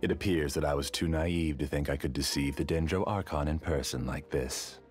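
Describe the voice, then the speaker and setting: An actor playing a formal, self-assured, obsessive scholar who rules a dream world in an anime game. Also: male voice, rumbling low, steady tone, moderate pace, faint music behind. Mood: amused, admiring. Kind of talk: anime video game voice acting.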